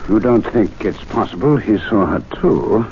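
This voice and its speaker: An actor playing a dry, wry, urbane gentleman in a 1970s radio drama, on a dull broadcast recording. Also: on the low side, expressive, normal speed, a little hiss or hum, close to an everyday voice. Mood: probing. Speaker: club member.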